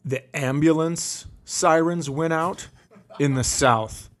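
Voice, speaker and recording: A man's voice: on the high side, takes it slowly. A man, a comedian co-hosting a podcast, chatting casually with dry, wry reactions.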